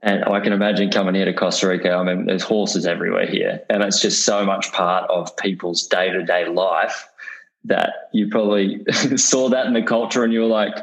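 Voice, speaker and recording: A man hosting a casual podcast interview, with a fairly low voice. He sounds eager and curious. He often stumbles and restarts his sentences with fillers. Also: fairly even intonation, creaky, low-quality recording. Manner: enthusiastic, halting with fillers and restarts. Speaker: podcast host.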